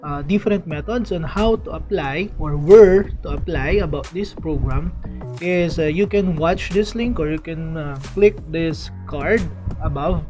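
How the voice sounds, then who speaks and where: A man's voice: on the high side, unhurried, warm tone, soft background music. A young man from the Philippines explaining a scholarship in a casual vlog, talking in a chatty way with many fillers and frequent "okay"s, recorded indoors close to the camera. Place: indoors, small room.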